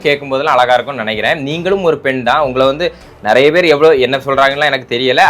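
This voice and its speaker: A man's voice: mid-range voice, fairly bright tone. A man from giving casual political commentary, arguing and mocking with rhetorical questions. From Tamil Nadu, India.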